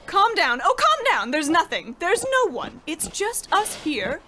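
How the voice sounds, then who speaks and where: A woman playing a shrill, melodramatic wife with old-fashioned phrasing in a comedic old-time radio play. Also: huge pitch swings, unhurried, pushed and bright, slight background noise, full character voice. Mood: dismissive, soothing.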